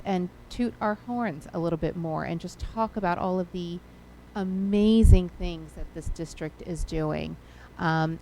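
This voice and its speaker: This is a woman with a lower-pitched voice reporting for the communications committee at a board meeting. She keeps it casual, hedging often with "kind of" and pausing on "um". A faint noise runs under her voice. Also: darkish tone, poor audio. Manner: casual, with many fillers and hedges like "kind of".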